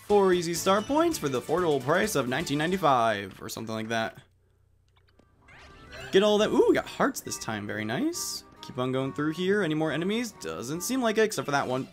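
A man with a mid-pitched voice gives casual commentary as he plays a video game. He drops jokey, self-deprecating asides along the way, and faint game music plays behind him. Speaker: let's play host.

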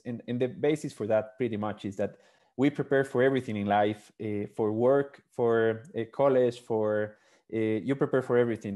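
A man's voice: medium pitch, mellow. A man in his thirties from Ecuador, a startup founder talking over a video call with an earphone mic, earnest and fluent with frequent fillers. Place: small room indoors.

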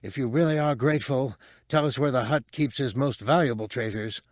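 A man's voice: medium pitch, fairly quick, no echo, clean studio sound, pitched above the performer's own voice, natural voice. Man playing a wary big furry alien. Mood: insistent. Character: big furry male alien, cautious reluctant companion.

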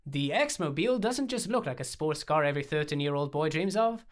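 A man voicing an excitable, childlike young man, a playful friend who speaks with theatrical fervor in an audiobook. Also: on the high side, fairly quick, natural voice. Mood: mock salesman. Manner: fervent, theatrical, exclaiming. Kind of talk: audiobook character dialogue.

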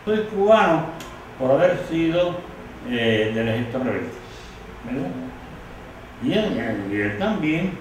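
An older man born in Galicia recalls his years as a rebel soldier, tagging his sentences with a questioning "no?". His voice sits a little high. The sound is very roomy and picked up from across the room. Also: big pitch swings, slow pace, long pauses, noisy background. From Galicia, Spain. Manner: reflective, with many "¿no?" tags. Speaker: former rebel soldier interviewed. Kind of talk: recollecting in an interview. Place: living room, indoors. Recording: very echoey room, mic far off.

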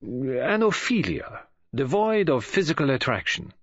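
A man playing a pompous older foreign detective in an audiobook, speaking formally, precisely and with deliberate self-assurance. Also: mid-range voice, big pitch swings, a bit bright, no echo, dull audio, voice pushed up, full character voice. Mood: grave, considered.

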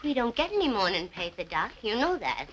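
A woman's voice: mid-range voice, sing-song, fairly quick, a bit bright, dull audio, a little hiss or hum. An actress playing a meek, anxious middle-aged housewife who pleads desperately with her drunken husband in a film scene. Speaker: wife.

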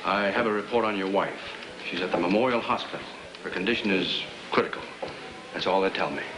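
An actor playing a businesslike, courteous police lieutenant in a 1950s film drama. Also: male voice, deep voice, brisk, music in the background, close to an everyday voice. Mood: sober, official. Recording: very noisy old film soundtrack.

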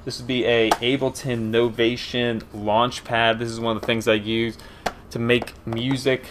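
A man's voice: medium pitch, flat delivery, a little slow, noisy background. Easygoing young man with a Pennsylvania accent. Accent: Philadelphia and Eastern Pennsylvania. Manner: rambling, easygoing, many fillers. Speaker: gaming commentator. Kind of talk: casual Q and A over gameplay. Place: small room at home, indoors.

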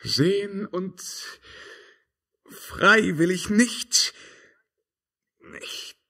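Brooding emperor, slow and husky. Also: male voice, high voice, exaggerated voice. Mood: hushed longing. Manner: declamatory, intense verse monologue with hushed drops. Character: tormented, brooding emperor, proud and haunted by memories. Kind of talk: 1950s radio drama acting.

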